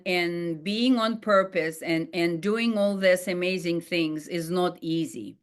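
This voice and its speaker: A woman's voice: fairly low pitch, a bit bright. A middle-aged woman with a Russian accent, a life coach telling her own story in an earnest, heartfelt way, with frequent fillers and restarts. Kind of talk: personal storytelling monologue.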